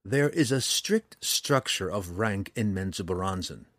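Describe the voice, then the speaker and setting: A man voicing a guarded, solemn male dark elf in a fantasy audiobook, speaking softly and gravely. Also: sits low, animated, no room sound, clean studio sound, exaggerated voice. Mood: calm, matter-of-fact. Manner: soft, measured, grave.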